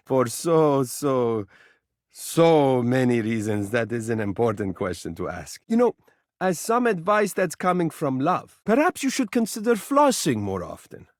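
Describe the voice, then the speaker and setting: An actor voicing a vain, fussy, doting living mirror in a video game, with a flowery, pompous and melodramatic delivery. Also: male voice, on the high side, dry recording, studio quality. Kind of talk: theatrical character dialogue for a video game.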